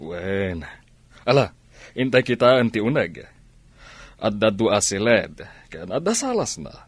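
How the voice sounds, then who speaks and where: A man's voice: fairly low pitch, sing-song, unhurried, no room sound, full character voice. A man with an Ilocano accent playing a courteous, generous older businessman in a radio comedy drama, speaking politely and a little hesitantly. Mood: obliging. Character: courteous, generous older businessman befriending two college girls.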